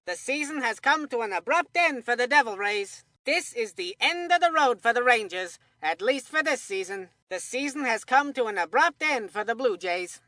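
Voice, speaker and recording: A woman voicing an excitable young boy baseball commentator in a kids' video game, with a theatrical, earnest announcer delivery. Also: on the high side, moderate pace, few pauses, dry recording, studio quality. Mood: mournful, resigned.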